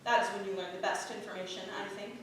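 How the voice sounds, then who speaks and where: A woman playing a frail, quiet, polite Puritan wife who is secretly shrewd, in a staged reading of a period play. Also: mid-range voice, fairly even intonation, quick pace, natural voice. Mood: amused. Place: theatre stage, picked up from far off. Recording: very roomy, distant, noisy theatre recording.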